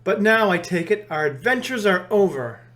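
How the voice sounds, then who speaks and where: Formal old-style knight, chivalrous and animated, put on by a woman. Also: medium pitch, normal speed, natural voice. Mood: wistful. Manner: formal, courtly.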